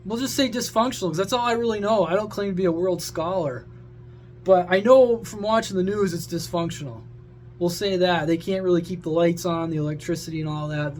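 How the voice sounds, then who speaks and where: A man's voice: sits high, slight background noise. A man talking to viewers in a casual, opinionated rant, blunt, sweary and rambling, like a hobby radio vlogger.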